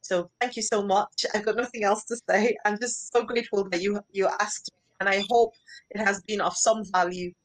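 A woman's voice: medium pitch, steady tone, moderate pace, rough recording. A middle-aged woman with a Nigerian accent, a charity founder speaking earnestly and gratefully as a guest in a casual video chat. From northeastern Nigeria. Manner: earnest, grateful, repeats herself. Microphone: video call.

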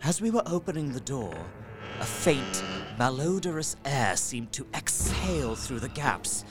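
Earnest gentleman narrator over music. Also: mid-range voice, big pitch swings, measured pace. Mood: haunted, uneasy. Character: earnest young gentleman narrating his horror journal. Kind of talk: dramatic scripted narration in an audio drama.

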